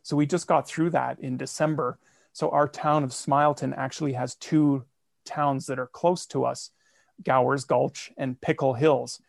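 A man's voice: mid-range voice, fairly even intonation, measured pace, gravelly, no echo. A man who makes a comedy fiction podcast, describing his show as an interview guest, in an explanatory and enthusiastic way. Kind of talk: casual interview.

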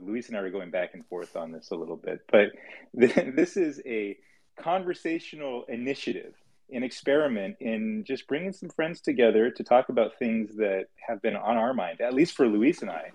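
A man's voice: mid-range voice, expressive, normal speed, darkish tone, slightly rough. A man hosting a live audio conversation, upbeat and joking, a bit rambling as he sets things up. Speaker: host.

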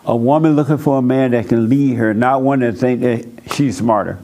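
Blunt preaching man, mid-pitched voice. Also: normal speed, warm tone. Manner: blunt and preachy, laughs at his own points. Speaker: pastor hosting a church call-in session. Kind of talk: blunt counseling talk to a congregation.

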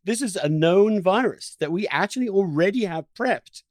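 A man's voice: high-pitched, expressive, moderate pace, no room sound, studio quality. A man hosting an interview in an impassioned conversational style, stressing his points emphatically with repeated words and piled-up phrases. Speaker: podcast host interviewing a guest.

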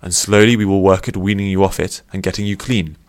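A man's voice: deep voice, fairly even intonation, quick pace, natural voice. Loyal old grandfather, acted by a man, devout, earnest, formal speech, audiobook character. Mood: earnest, devoted.

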